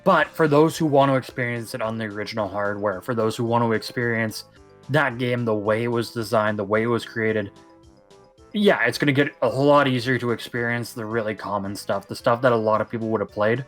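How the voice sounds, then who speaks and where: A man's voice: mid-range voice, steady tone, brisk, fairly bright tone, faint music behind. A young man co-hosting a casual podcast, rambling and emphatic as he talks at length. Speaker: podcast co-host. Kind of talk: casual chat.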